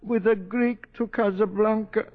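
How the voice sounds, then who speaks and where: An actor playing a nervous, fawning hotel owner, stammering and repeating himself in 1940s radio style. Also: male voice, high voice, steady tone, a little slow, noisy background. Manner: flustered, stammering, obsequious, repeats words. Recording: muffled vintage radio broadcast recording.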